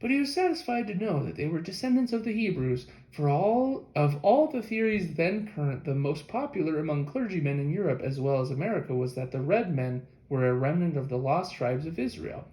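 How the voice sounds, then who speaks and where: A man's voice: fairly high pitch, expressive, brisk, few pauses, mellow. A man reading a nonfiction history book aloud as scripted audiobook narration. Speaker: audiobook reader. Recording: echoey room.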